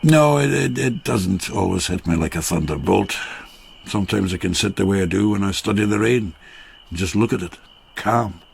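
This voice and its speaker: An actor playing a widowed peasant farmer, boisterous and fond of drink, who rambles jovially and turns reflective in a radio drama. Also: male voice, sits low, normal speed, vocal fry, breathy edge, heavily acted. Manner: jovial, rambling, slightly tipsy. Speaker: Takeshi, a farmer friend.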